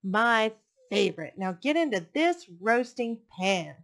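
A hungry storybook fox, acted out by a woman, boastful and gleefully predatory. The delivery is emphatic and gruff in manner, with big swings in pitch. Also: very animated, unhurried, fairly bright tone, heavily acted. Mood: bossy, boastful. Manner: emphatic, crowing, growling.

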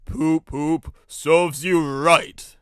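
A big, self-important steam engine from a children's storybook, acted out by a man. The voice is loud and bellowing, with drawn-out, boastful phrasing. The sound is dry. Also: a little high, steady tone, clear, resonant tone, dry recording. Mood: gloating.

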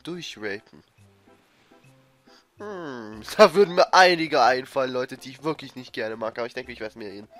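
Man with a cold, excitable let's play commentary, swears when frustrated, faint game music. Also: mid-range voice. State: has a cold, coughing. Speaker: let's player. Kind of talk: gameplay commentary.

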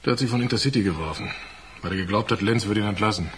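A man acts a conscience-stricken workman in a radio play. His voice is fairly low, earnest and troubled. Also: very fast, a little hiss or hum, natural voice. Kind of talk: radio drama acting.

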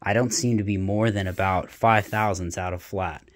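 A man's voice: low voice, steady tone, moderate pace. A man in a small home workshop, casually thinking aloud as he demonstrates a hands-on test, with plenty of fillers. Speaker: hobbyist welder demonstrating a test. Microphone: camera worn on the body, point-of-view framing.

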